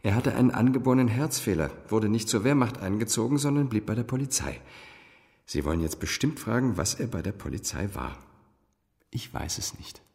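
An actor playing a wealthy, guarded businessman in a radio drama, formal and quick to take offence. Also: on the low side, expressive, vocal fry, darkish tone. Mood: guarded, businesslike. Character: wealthy businessman father, guarded, indignant when questioned. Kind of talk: German radio drama acting. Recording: reverberant room.

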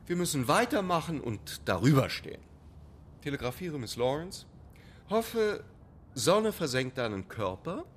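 An actor playing an imperious, sarcastic playwright with biting mock politeness in a German radio play. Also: male voice, fairly high pitch, sing-song, measured pace, a little hiss or hum. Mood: brisk, biting. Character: imperious, sarcastic male playwright with theatrical temper.

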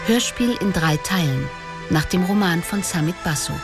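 A woman's voice: on the low side, animated, moderate pace. A woman giving a scripted title announcement for a German radio drama. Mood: formal. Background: loud music.

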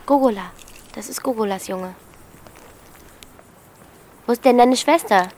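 A woman's voice: fairly high pitch, unhurried, a little mellow. A woman playing a blunt, bossy thirteen-year-old girl in a naturalistic radio drama. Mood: bossy, probing. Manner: blunt, colloquial, questioning.

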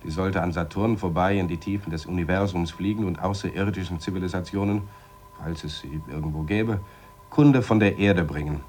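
A man acting as a space lab astronaut in a science fiction radio play. His voice sits low. He reports methodically and dryly, with a sardonic edge. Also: darkish tone, a little hiss or hum, lightly acted. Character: matter-of-fact male space lab astronaut giving a report, dryly sarcastic.

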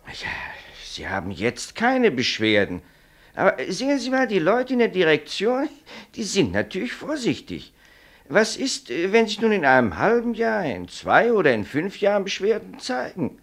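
An officious company doctor in a radio drama. The voice is high for a man and swings extremely widely in pitch, pedantic and condescending. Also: huge pitch swings, moderate pace, exaggerated voice. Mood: cautious, condescending. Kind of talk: German radio play acting.

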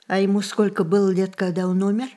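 An actress playing a spirited, imperious old woman in a radio play, coaxing and reminiscing in an expressive, theatrical way. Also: mid-range voice, quick pace, natural voice. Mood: inquisitive. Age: older.

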